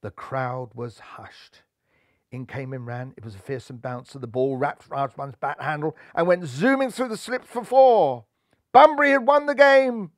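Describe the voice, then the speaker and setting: A man's voice: a little high, normal speed, no echo, clean studio sound. A man reading a storybook aloud as dramatic, theatrical narration, building suspense.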